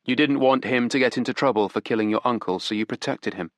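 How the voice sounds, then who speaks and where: A man voicing a calm, measured male detective in an audiobook, speaking flat and deliberate. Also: mid-range voice, no echo, natural voice. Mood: cool. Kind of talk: audiobook character voice.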